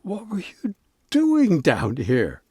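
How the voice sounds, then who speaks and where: Stammering, high-voiced, exasperated younger brother. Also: male voice, wildly swooping pitch, warm tone, husky, no echo, studio quality, exaggerated voice. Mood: urgent. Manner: halting, earnest, repeating words. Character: devoted, exasperated younger brother working at the family mill. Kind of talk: audio drama acting.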